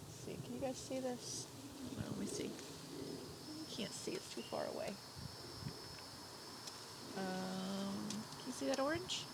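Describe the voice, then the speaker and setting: A woman's voice: fairly high pitch, animated, takes it slowly, long pauses, heavy background noise. A woman teaching a kindergarten lesson over video from her garden, her nose and ears stuffed up, speaking encouragingly with many fillers and now and then singing simple teaching songs. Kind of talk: remote lesson to young children. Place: outdoors in a garden by an orange tree. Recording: outdoor recording, crickets and insects behind.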